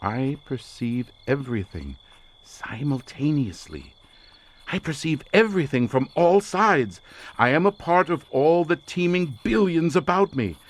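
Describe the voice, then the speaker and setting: An actor playing an obsessed researcher lost in a drugged visionary trance, speaking theatrically and haltingly in an audio horror drama. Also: male voice, fairly high pitch, lively intonation. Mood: grand, rapt. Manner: theatrical, incantatory, halting with repeated words.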